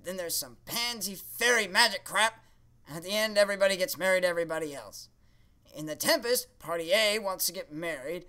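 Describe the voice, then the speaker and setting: A man's voice: sits high, lively intonation, brisk, pushed and bright. A man voicing a jaded creative writing professor in a puppet comedy, blunt, scornful and full of exasperated sarcasm. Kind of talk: puppet show comedy acting.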